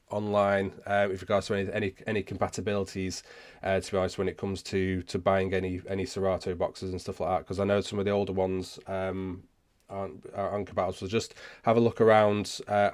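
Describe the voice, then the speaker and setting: A man hosting a casual live question-and-answer session, speaking haltingly and often rephrasing himself as he goes. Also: sits low, smooth. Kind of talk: casual livestream chat, answering viewer questions.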